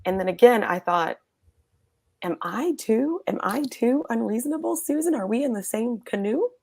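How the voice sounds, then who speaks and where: A woman's voice: on the high side, animated, normal speed, vocal fry, a little mellow, breathy edge. A woman guest co-host on a reality TV recap, wry and joking.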